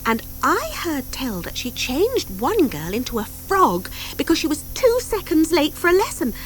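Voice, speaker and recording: Woman playing a dramatic, high-voiced schoolgirl witch. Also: wildly swooping pitch, moderate pace, faint music behind, low-quality recording. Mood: dramatic, gossipy. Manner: gossipy, dramatic, emphatic. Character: bold young schoolgirl witch, dramatic storyteller among friends. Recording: hissy old audio cassette.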